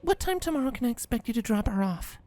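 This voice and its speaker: High, lively mother pony played by a woman. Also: fast talker, faint music behind. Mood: polite, insistent. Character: polite, insistent mother mare, adult earth pony. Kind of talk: dramatic fan fiction audio reading.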